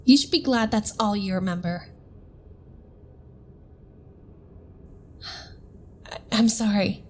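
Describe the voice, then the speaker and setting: A woman's voice: medium pitch, a little fast, a little mellow, natural voice. A woman playing an earnest, caring but shaken spacecraft crew member in an audio drama.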